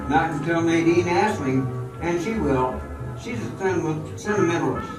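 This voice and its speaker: A man's voice: fairly high pitch, a little slow, lightly acted. An amateur actor playing a bitter, stubborn, proud male dancer who has been jilted and is drinking, in a radio drama. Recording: very echoey hall, distant mic, music behind.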